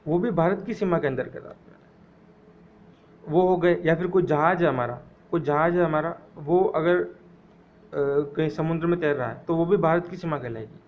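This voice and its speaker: A man with a fairly high voice delivers an exam-prep economics lecture. He goes over definitions slowly, repeating key phrases so his students can memorize them. The sound is thin and phone-like, with a faint hiss underneath. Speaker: economics teacher.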